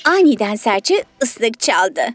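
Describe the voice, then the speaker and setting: A woman's voice: a little high, sing-song, slow, soft background music. A woman storyteller narrating a children's story in a scripted read.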